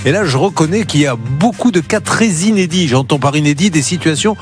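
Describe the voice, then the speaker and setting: A man's voice: on the high side, expressive, a little fast, music in the background. A man hosting a live TV help show, joking and teasing his colleagues as he runs through the cases. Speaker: TV show host. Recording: broadcast TV audio.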